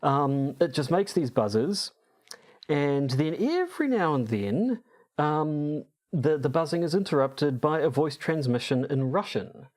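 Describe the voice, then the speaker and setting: A man co-hosting a podcast, explaining from notes in a hesitant, halting way as he searches for words. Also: fairly high pitch, expressive, darkish tone. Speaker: podcast co-host.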